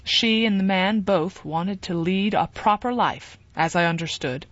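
A woman's voice: medium pitch, measured pace, no echo, highs cut off, natural voice. Vrouw speelt kalme, redelijke jongeman. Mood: calm.

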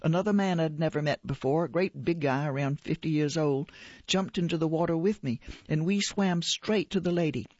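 A woman reading aloud as an older Albanian-born photographer recalling a sea rescue, in a plain steady way. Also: a little high, normal speed, no echo, close to an everyday voice. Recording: dull lo-fi radio recording.

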